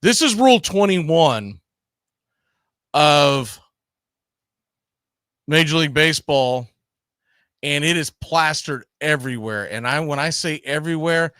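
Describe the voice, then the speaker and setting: Male talk host, slightly high register, casual and rambling delivery, close dry sound. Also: expressive, measured pace, long pauses, a bit bright, clean tone, no room sound. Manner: informal, rambling. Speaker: host of a baseball talk show. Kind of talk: casual live show chat.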